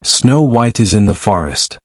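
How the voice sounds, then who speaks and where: Woman putting on a very deep, solemn magic mirror. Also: sing-song, a little slow, no room sound, studio quality, heavily acted. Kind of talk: storybook character voice.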